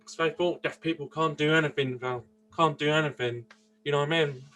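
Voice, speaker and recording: A man's voice: a little high, steady tone, brisk, fairly bright tone. A young man in his twenties from Austria, answering interview questions earnestly, often restarting and searching for words. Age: 20s. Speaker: interview guest. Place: indoors, seated interview.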